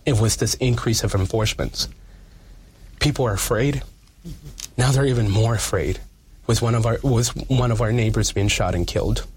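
Husky-voiced man, emphatic activist. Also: medium pitch, moderate pace, darkish tone. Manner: emphatic, repeats phrases for weight. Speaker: community activist giving an interview. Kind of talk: earnest advocacy interview.

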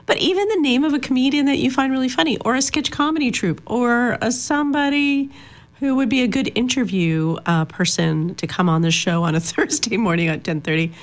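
A woman's voice: a little high, a little fast. A woman hosting a radio show in a studio, speaking in a casual, hesitant way with many fillers and light laughs. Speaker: radio host.

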